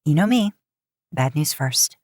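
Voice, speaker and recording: A woman's voice: deep voice, lively intonation, a little slow, darkish tone, no room sound, studio quality. A woman voicing a guarded young woman in a story, fierce but sensitive. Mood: wry.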